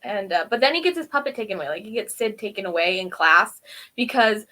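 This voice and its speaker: A woman co-hosting a review show, casually recapping and commenting in an informal, animated way. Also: mid-range voice, quick pace. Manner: informal, animated retelling with acted-out quotes. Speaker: co-host of a TV episode review show.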